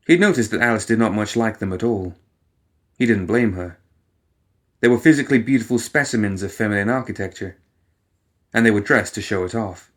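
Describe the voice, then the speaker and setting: A man reading a fiction story aloud as an expressive audiobook narrator. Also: fairly low pitch. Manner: expressive, takes on characters' inner voices.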